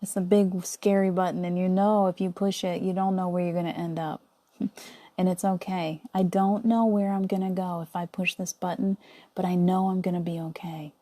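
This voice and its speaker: A middle-aged woman leading a guided visualization meditation in a soothing, coaxing way, often dropping to a soft whisper. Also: on the low side, level tone, moderate pace.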